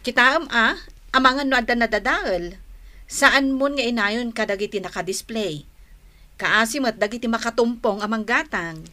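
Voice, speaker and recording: Exacting, sharp-voiced Filipino stall owner. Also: female voice, fairly high pitch, animated, moderate pace. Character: exacting, conscientious female market stall owner. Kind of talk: Ilocano radio drama acting.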